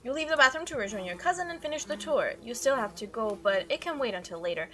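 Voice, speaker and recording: A woman hosting a gaming video, giving playful, expressive commentary and reading narration aloud over game music. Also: fairly high pitch, quick pace. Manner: expressive, animated.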